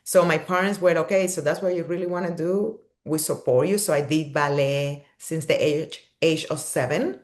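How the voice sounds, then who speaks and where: A woman from Cuba with a Cuban Spanish accent, a podcast guest telling her story in an expressive, animated way and laughing often. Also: sits low, moderate pace, hardly any pauses. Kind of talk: podcast interview.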